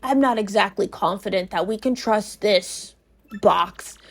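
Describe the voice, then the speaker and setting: A bumbling old professor from a video game story, acted out by a woman. He is gravelly and hesitant, dragging his words slowly as he frets and scolds himself. Music sits behind him. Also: medium pitch, voice pushed down. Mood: worried, flustered. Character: nervous, fretful old male professor, bumbling and wary.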